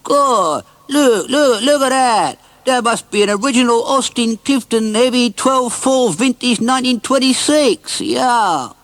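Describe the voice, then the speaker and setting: A man's voice: very high-pitched, lively intonation, unhurried, few pauses, a bit bright, dry recording, voice pushed up, full character voice. A man voicing an amazed onlooker exclaiming in a children's story. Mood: amazed, excited. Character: amazed male onlooker, a vintage-car spotter.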